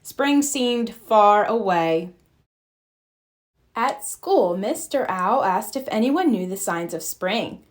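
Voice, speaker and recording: A woman narrating a children's picture book aloud, gentle and expressive. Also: medium pitch, slow. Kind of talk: read-aloud storybook narration.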